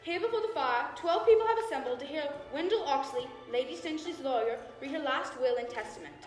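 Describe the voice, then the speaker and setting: A woman's voice: high voice, expressive, fast talker. A woman playing the dramatic host and narrator of a spooky mystery radio drama. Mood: ominous. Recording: echoey hall from far off, loud music.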